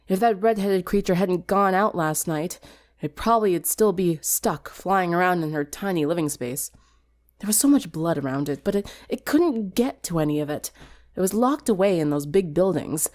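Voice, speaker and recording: A woman's voice: fairly low pitch, a little mellow. A woman reading a scripted story aloud as a dramatic narrator. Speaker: fan fiction narrator.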